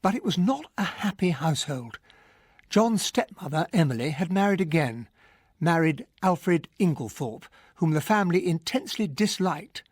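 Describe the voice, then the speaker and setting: An actor playing an earnest English army captain who narrates a radio mystery in a well-spoken, expressive way. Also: male voice, a little high, moderate pace, husky, no room sound, studio quality. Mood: grave recollection. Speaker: narrator and character in a radio drama. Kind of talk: first-person radio drama narration.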